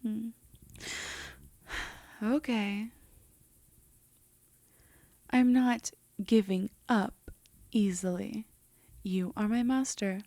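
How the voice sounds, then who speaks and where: A woman playing a composed, teasing female demon in an intimate roleplay audio drama, often slipping into a breathy half-whisper. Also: a little high, darkish tone, no room sound, studio quality. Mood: teasing, obliging.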